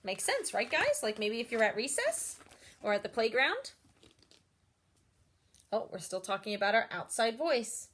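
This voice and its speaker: Animated, bright-voiced woman reading to children. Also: a little high, measured pace, long pauses. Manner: expressive, explains and asks questions simply. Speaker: read-aloud storyteller for children. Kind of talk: animated picture-book read-aloud with asides to young listeners.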